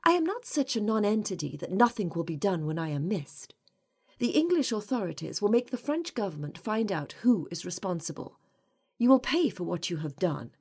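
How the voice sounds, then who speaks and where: A woman's voice: medium pitch, very animated, normal speed, a little mellow, breathy edge. A woman voicing a defiant, frightened young English female captive in an audiobook, indignant, breathless and dramatic.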